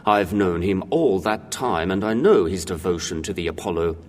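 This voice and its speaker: An actor playing a stern, loyal old senior cinema usher, speaking formally and emphatically in an audio drama. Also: male voice, sits low, moderate pace, darkish tone, natural voice. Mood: proud, assured. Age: older. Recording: reverberant hall, faint background noise.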